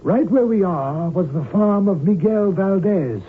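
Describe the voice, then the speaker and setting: High-voiced pompous cult preacher, tinny. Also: male voice, animated, a little slow. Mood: pious, fervent. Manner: pompous, preachy, scripture-quoting. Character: fanatical cult preacher, male hijacker with pious airs. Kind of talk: old-time radio drama acting. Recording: tinny, band-limited old radio recording, hissy.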